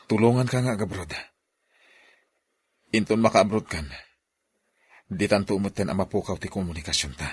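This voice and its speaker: A man plays a scheming husband in an Ilocano radio drama. His voice is very low and rough with husk, and he speaks with an Ilocano accent, commanding and secretive. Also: normal speed, long pauses. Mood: urgent, scheming. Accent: Filipino, Ilocano.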